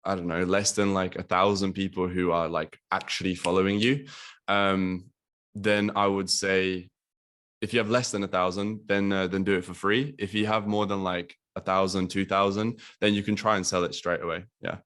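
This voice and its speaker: A young man from Latvia talks loosely over a video call, his voice low. He fills his speech with ums and likes and swears casually. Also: little pitch movement, moderate pace, a bit bright. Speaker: guest business coach.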